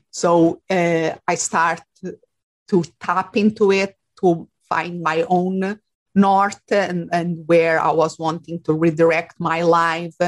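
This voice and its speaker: Woman with a Portuguese accent. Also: on the low side. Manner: reflective, with frequent fillers and restarts. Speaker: guest, life coach. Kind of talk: casual interview talk.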